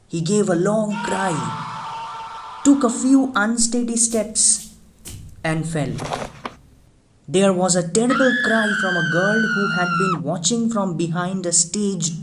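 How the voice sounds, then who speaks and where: A storyteller reading a scripted ghost story in a dramatic, deliberate manner. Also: mid-range voice, very noisy. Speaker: storyteller, audiobook reader. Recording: music behind the voice. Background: music.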